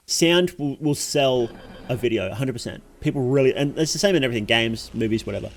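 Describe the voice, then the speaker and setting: A man's voice: mid-range voice, fast, slight background noise. A man hosting a livestream, reacting casually to videos in a rambling, informal, slangy way.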